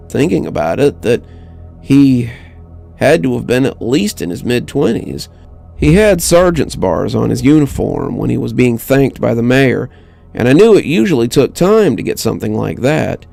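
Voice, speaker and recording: A man reading a scripted first-person horror story in a measured, dramatic way with deliberate pauses. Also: medium pitch, creaky, mellow, no echo. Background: music under the voice.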